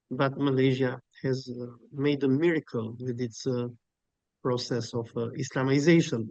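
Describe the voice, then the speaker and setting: A man's voice: a little high, a little mellow, dry recording, lo-fi sound. A man from Albania giving an emphatic online lecture as guest speaker, with frequent filler sounds. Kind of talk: academic webinar lecture and Q&A.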